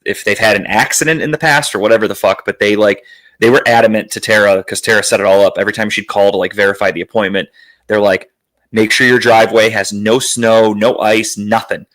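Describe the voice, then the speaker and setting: Lowish guy podcast host, bit raspy and worn, rambly, swears a lot. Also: steady tone, brisk, a bit bright. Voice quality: slightly raspy, worn, phlegmy. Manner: rambling, profane storytelling. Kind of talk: casual podcast chat.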